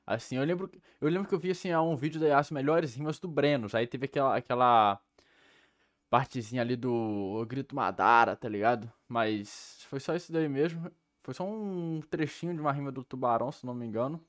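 A man's voice: mid-range voice, brisk, dry recording, studio quality. A young man with a Brazilian accent giving casual, slangy commentary as a reaction host on a headset mic. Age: young adult. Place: small room indoors.

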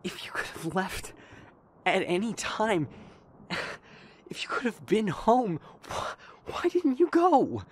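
A man playing an impassioned, defiant strategist in an audio drama, loud, urgent and confrontational. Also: sits high, huge pitch swings, takes it slowly, husky, heavily acted. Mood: anguished. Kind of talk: dramatic audio roleplay acting. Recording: echoey reverb with noisy ambience.

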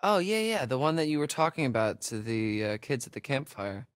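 An actor playing a wisecracking, jittery young American camp counselor in a horror video game, with slangy, hesitant, sarcastic delivery. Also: male voice, mid-range voice, sing-song, fairly quick, clear, resonant tone, no echo, studio quality, lightly acted. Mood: teasing. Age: young adult. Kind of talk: naturalistic horror game acting.

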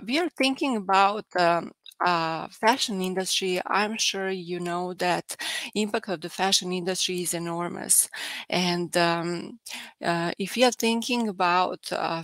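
Soft-spoken Serbian woman lecturing online. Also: fairly low pitch, dry recording. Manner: hesitant, many filler sounds. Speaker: guest lecturer. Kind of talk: online academic talk. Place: home room by video call. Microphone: headset.

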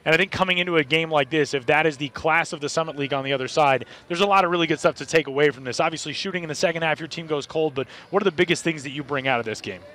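Brisk, higher-voiced male sportscaster. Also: pushed and bright. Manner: brisk, polished broadcaster delivery. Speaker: play-by-play broadcaster. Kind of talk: post-game broadcast interview and sign-off. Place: basketball arena, courtside.

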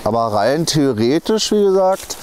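Higher-pitched man presenting outdoors. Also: sing-song, unhurried, a little mellow. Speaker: video presenter. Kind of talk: casual on-location vlog commentary. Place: outdoors in woodland and bog. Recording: outdoor field recording with background noise.

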